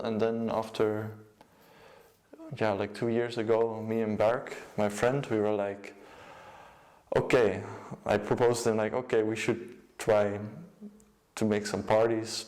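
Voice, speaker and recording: A young man with a Dutch accent, a music producer and DJ, giving a casual, low-key account of his work. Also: flat delivery, a little slow, a little mellow. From Netherlands.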